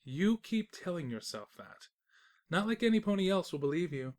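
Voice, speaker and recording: A woman's voice: lowish voice, big pitch swings, normal speed, darkish tone, pitched above the performer's own voice. A woman playing a smug, teasing, disembodied narrator spirit with a dry, taunting manner. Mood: dismissive.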